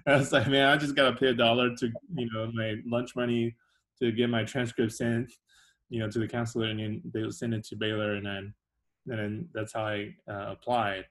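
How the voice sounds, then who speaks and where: A young man from China, a podcast guest telling his story in a soft-spoken, rambling way. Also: medium pitch, flat delivery, fairly quick, low-quality recording. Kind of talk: casual interview.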